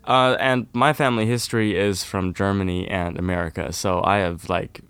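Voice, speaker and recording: A young man from Norway, a folk musician, chatting casually and warmly in an interview, with lots of "kind of" and "like". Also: low voice, moderate pace, clear, resonant tone, no echo. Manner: relaxed, friendly, uses fillers like "kind of, like".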